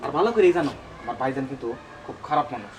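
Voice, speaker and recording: Man playing a menacing, quick-talking village uncle. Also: mid-range voice, expressive, recorded from a distance, close to an everyday voice, poor audio. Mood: threatening. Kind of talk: village drama acting.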